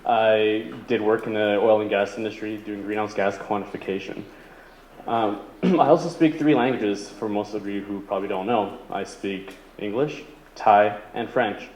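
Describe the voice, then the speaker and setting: A man's voice: on the low side, fairly even intonation, normal speed, a little mellow. A man giving an earnest opening statement as a political candidate at a debate. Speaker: political candidate, Green Party. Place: indoor community debate venue. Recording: echoey hall with faint noise.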